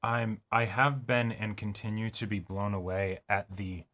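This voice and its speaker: A man with a fairly low voice talks casually as co-host of a reaction show. He is reflective and halting, piecing thoughts together and often checking in with his listener. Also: fairly even intonation, a bit bright. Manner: halting, reflective, checks in with "right" and "you know".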